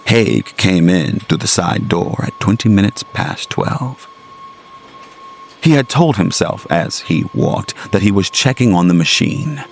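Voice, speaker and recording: A man reading scripted gothic horror as a storyteller, in a dramatic, deliberate literary manner with weighted phrases. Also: deep voice, vocal fry. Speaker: storyteller, narrator. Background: music under the narration.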